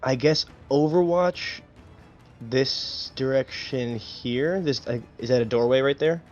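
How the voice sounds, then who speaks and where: A man's voice: medium pitch, slow pace, darkish tone. Man at a game, slightly muffled audio. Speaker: tabletop game player. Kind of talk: casual game chat. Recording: dull lo-fi audio with music.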